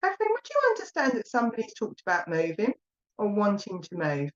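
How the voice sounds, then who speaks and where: A woman's voice: mid-range voice, wildly swooping pitch, brisk. Chatty Essex woman medium, lo-fi sound. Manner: chatty and rambling, calls viewers "my lovely". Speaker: psychic medium. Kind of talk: live online mediumship reading.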